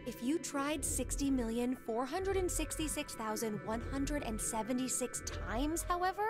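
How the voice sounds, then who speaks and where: High-voiced, earnest, cerebral young woman, music behind. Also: animated. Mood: calm, probing. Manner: deliberate, didactic, pressing with leading questions. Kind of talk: video game voice acting. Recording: music under the voice.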